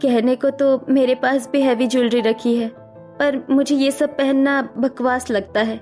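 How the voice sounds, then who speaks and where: A woman's voice: high-pitched, fairly even intonation, measured pace, a little mellow, faint music behind. A woman voicing a plain-spoken, principled young bride in a cartoon, earnest and self-assured. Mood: earnest, firm.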